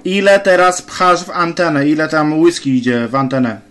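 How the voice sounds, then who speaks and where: A man's voice: fairly high pitch, steady tone, normal speed, fairly bright tone, smooth. A man chatting casually as a radio operator, slangy and given to repeating phrases. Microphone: handheld camera near radio set. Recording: noisy handheld camera recording, radio clicks.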